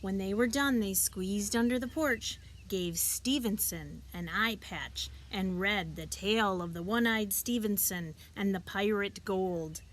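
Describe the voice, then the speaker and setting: A woman reading a children's picture book aloud, expressively and deliberately, as a teacher would for young listeners. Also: medium pitch, a little hiss or hum. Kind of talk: children's storybook read-aloud.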